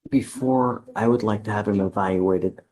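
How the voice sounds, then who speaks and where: Low-voiced stern male judge. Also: darkish tone, low-quality recording. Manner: measured, deliberate, stern but patient. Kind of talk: courtroom hearing remarks. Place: courtroom.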